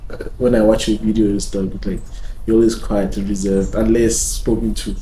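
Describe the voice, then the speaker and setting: A man's voice: on the low side, flat delivery, normal speed, mellow, a little hiss or hum. A man co-hosting a casual podcast, asking questions and agreeing along. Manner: easygoing, chiming in with agreement. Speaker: podcast co-host. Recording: echoey room.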